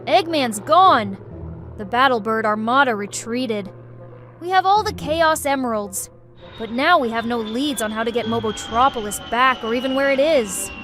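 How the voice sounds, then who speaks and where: High-voiced commanding heroine, woman acting. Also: expressive, moderate pace, fairly bright tone, soft background music. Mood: serious. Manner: authoritative, serious. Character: commanding, wary young female cartoon squad leader. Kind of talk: cartoon comic dub.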